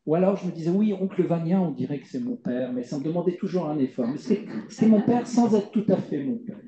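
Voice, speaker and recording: A middle-aged man answering interview questions at length, halting with many fillers and repeated words. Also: a little high, lively intonation, a crowd in the background. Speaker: playwright guest. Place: stage event before audience. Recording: dull lo-fi roomy recording.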